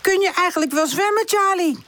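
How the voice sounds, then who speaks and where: A woman's voice: very high-pitched, fairly quick, fairly bright tone, voice pushed up. A woman voicing a protective, brave but nervous older boy puppy in a children's storybook, sometimes hesitant. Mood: worried. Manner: stammers and hesitates when worried.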